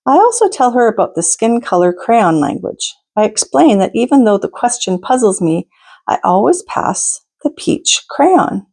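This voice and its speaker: A woman reading a picture book aloud to children as a storytime host, in a deliberate, expressive manner with asides to her listeners. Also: a little high. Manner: deliberate, expressive, pauses to ask listeners questions.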